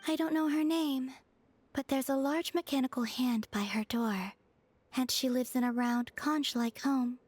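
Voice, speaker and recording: A woman voicing a gentle, serene, otherworldly young maiden in a video game, speaking softly and formally, with music behind. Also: sits high, fairly even intonation, measured pace, no room sound. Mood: calm, thoughtful. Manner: soft, composed, formal.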